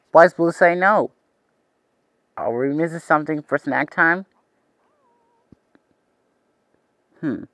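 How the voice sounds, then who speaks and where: A man's voice: a little high, a bit bright, no echo, lightly acted. A man playing a cheerful, eager young host of a preschool TV show, talking to the viewer in an exaggerated, wide-eyed way. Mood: puzzled. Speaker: children's show host character.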